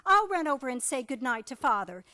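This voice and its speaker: A woman's voice: high voice, very animated, brisk, bright, forceful tone, no echo, studio quality, full character voice. A woman playing a breezy young sweetheart, fond and teasing, in an old-time radio comedy.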